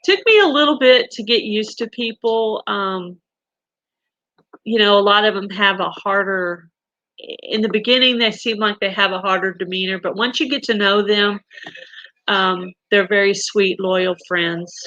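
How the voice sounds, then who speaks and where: A woman's voice: mid-range voice, a little slow, pushed and bright, no room sound, dull audio. A middle-aged woman with a Texan accent, hosting a live stream and telling stories in a chatty way with fillers and restarts. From Texas. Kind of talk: casual chat reading viewer comments.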